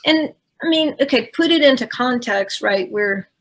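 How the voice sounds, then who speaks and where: Woman, 60s, first language French, gravelly higher voice, heartfelt rambling storyteller. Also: lively intonation, normal speed, fairly bright tone. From Louisiana, USA. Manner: heartfelt, rambling with many fillers. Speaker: guest. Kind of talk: personal storytelling in an interview.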